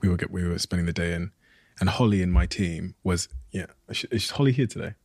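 A man with a very deep, low voice putting questions to a guest. He is thoughtful and feels his way toward each question. The sound is dry and close to the mic. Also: a little fast, a little mellow, no room sound. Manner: thoughtful, searching for words as he frames questions. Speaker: interview host. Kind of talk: conversational interview questions.

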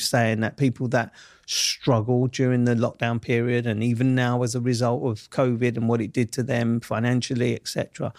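A middle-aged man with a South East London accent, giving reflective interview answers in a measured, emphatic way with slow, deliberate stresses. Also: mid-range voice, darkish tone, no room sound, clean studio sound. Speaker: interview guest.

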